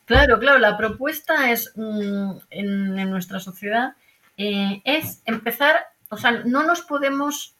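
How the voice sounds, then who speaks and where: A woman's voice: on the high side, a bit bright. A woman with a Madrid Spanish accent explains ideas as an interview guest, emphatic, repeating phrases and checking in with tag questions. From Madrid, Spain. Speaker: interview guest, archaeologist and university professor. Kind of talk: explanatory conversation.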